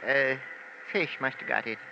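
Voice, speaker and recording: A man's voice: medium pitch, moderate pace, vocal fry, lightly acted. An actor playing a wily old male boatman who haggles slyly, drawling and hesitant, in a 1950s radio drama. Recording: dull lo-fi old radio recording with hiss.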